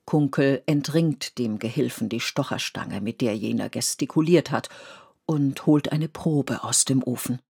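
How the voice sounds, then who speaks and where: A woman playing the sober, deliberate narrator of a historical radio play. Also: very deep voice, gravelly, a little mellow, breathy edge, full character voice. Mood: storytelling.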